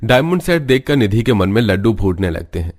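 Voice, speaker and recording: A man's voice: lowish voice, sing-song, fairly quick, darkish tone. A man reading scripted narration for a cartoon story.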